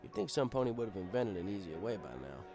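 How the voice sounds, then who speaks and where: Lively male storybook narrator, wry. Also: on the low side, fast, over music. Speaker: story reader. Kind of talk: first-person scripted narration, fan fiction audiobook.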